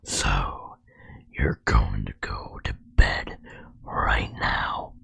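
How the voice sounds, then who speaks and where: A man playing a chatty, teasing nightmare spirit in a casual, gently scolding whispered ASMR roleplay. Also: a bass voice, drawn-out words, vocal fry, slightly rough, heavily acted. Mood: coaxing, grumbling. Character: chatty, teasing male nightmare spirit nagging a sleepless worker.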